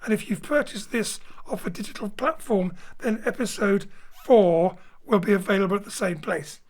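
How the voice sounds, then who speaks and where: A man's voice: high voice, moderate pace. A man closing a live audio drama stream, thanking the cast and giving announcements in an upbeat manner. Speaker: producer closing the show. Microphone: home studio mic.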